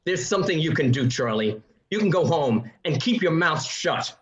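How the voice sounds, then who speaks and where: A man's voice: on the high side, expressive, moderate pace, a bit bright, lo-fi sound. A man playing an exasperated, sarcastic neighbor arguing for reason in a radio drama, in a roomy home recording. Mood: indignant. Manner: sarcastic, indignant. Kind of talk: radio play acting.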